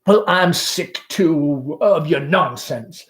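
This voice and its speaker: A man's voice: fairly high pitch, lively intonation, slow, a bit bright. A man voicing a fussy, domineering middle-aged mother from the South in a stage play, effusive and imperious. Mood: scolding, exasperated.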